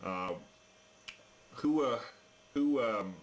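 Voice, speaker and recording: A man's voice: mid-range voice, lively intonation, very slow. An American man chatting casually on ham radio, explaining things with some hesitation. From United States. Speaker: amateur radio operator.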